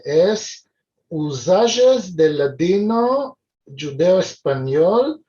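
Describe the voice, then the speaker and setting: A male host speaks very slowly and hesitantly, filling his pauses with "eh". He sounds distant from the mic. The video call audio is dull and lo-fi. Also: on the high side, a little mellow. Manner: hesitant, many fillers. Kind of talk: hosting an online talk.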